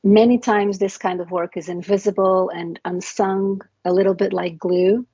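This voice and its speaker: A middle-aged woman from Portugal giving a prepared conference talk in a measured, explanatory way, with frequent fillers. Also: medium pitch, steady tone, warm tone, husky, dry recording, dull audio. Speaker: conference speaker.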